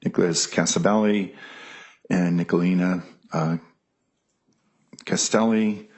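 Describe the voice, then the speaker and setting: Hesitant husky-voiced male witness. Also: low-pitched, steady tone, unhurried, darkish tone. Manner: hesitant, many ums, reading aloud. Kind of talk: courtroom testimony. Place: courtroom.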